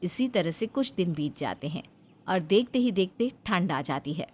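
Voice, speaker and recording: A woman's voice: lowish voice, lively intonation, normal speed, darkish tone. A woman giving scripted narration of a family story as its narrator.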